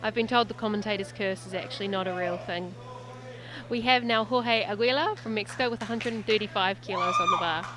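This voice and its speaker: A woman's voice: medium pitch, fairly quick, background noise. A native English-speaking woman doing live sports commentary, chatty and lightly joking.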